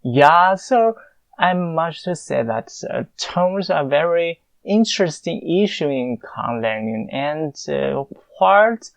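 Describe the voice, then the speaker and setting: Slow halting man, Mandarin Chinese accent. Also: on the high side, vocal fry, no echo. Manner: halting, many filler pauses. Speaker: conlang creator answering listener questions. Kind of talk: casual Q&A monologue.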